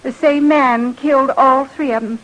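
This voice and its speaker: A woman's voice: high-pitched, fairly even intonation, normal speed, a bit bright. A woman playing a polite but guarded, insistent rancher's wife with a rural American accent in an old-time radio western, plain-spoken in manner. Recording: noisy vintage radio drama recording.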